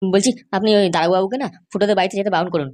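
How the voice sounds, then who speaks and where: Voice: medium pitch, steady tone, darkish tone, close to an everyday voice. A performer voicing an anxious young woman in a cartoon comedy, pleading and insistent. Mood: hesitant. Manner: pleading, insistent, halting starts. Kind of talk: amateur cartoon comedy dialogue.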